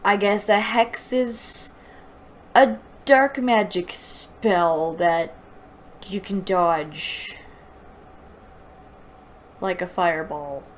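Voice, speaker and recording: A woman's voice: mid-range voice, a little hiss or hum. A woman hosting a book review, reading aloud and commenting with exasperated sarcasm. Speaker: host of a book review show.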